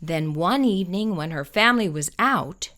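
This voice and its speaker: A woman reading a children's picture book aloud, slow and expressive. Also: lowish voice. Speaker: children's storyteller.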